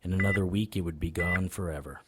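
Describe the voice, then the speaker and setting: An actor playing a weary, lovestruck occult investigator who narrates his own case in a wry, measured way for an audio drama. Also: deep voice, very noisy, close to an everyday voice. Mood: somber, measured. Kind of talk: first-person noir audio drama narration and dialogue. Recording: music under the voice.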